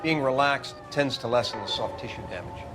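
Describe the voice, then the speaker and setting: A man's voice: mid-range voice, a little fast, very noisy, natural voice. A man voicing a matter-of-fact 1940s coroner who explains evidence in a clinical tone.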